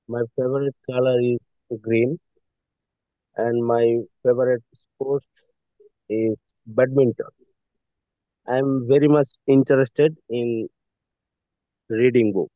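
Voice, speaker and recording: Slow halting man on a phone line. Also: mid-range voice, fairly even intonation, dry recording. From Bangladesh. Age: 30s. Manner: halting, many fillers, polite "sir". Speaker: student. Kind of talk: self-introduction in class. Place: in a car.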